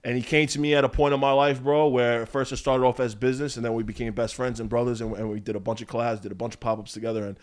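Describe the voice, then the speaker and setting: A young man in casual podcast chat, talking fast and emphatically, with frequent swearing. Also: medium pitch, level tone. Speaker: podcast guest, clothing brand owner.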